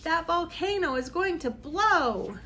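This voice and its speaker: A woman voicing a bossy, alert young schoolgirl adventurer in a storybook, brisk and exclamatory. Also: extremely high pitch, animated, bright, forceful tone, slight background noise, pitched above the performer's own voice, exaggerated voice. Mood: urgent warning.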